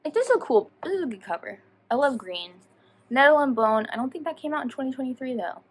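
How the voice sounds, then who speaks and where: A woman with a fairly high voice talks in a casual, chatty vlog style. She rambles and pokes fun at herself, drifting into tangents. A faint layer of room noise sits behind her. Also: animated, fast. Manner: rambling, self-deprecating, tangential. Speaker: book reviewer vlogger. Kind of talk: casual chatty video talk.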